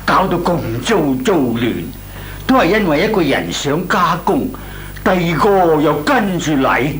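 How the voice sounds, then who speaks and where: Older Hong Kong uncle, Cantonese radio comedy, slow lecturing delivery, swooping intonation, hissy humming old recording. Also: male voice, fairly high pitch, very animated, a bit bright. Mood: chiding. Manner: deliberate, explanatory, chiding. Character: Uncle Tong, patient, lecturing older neighbourhood man.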